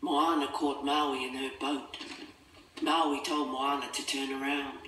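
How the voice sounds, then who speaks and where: A man's voice: mid-range voice, little pitch movement, a little slow, pushed and bright, slight background noise. A man reading a scripted children's storybook as its narrator. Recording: echoey, distant, music behind.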